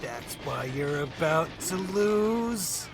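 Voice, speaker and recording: A man's voice: a little high, lively intonation, slow pace, creaky, background noise, full character voice. Sarcastic, competitive young guy played by an actor. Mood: teasing. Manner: casual, teasing. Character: young male gamer, competitive, sarcastic, needling brother. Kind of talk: audio drama dialogue.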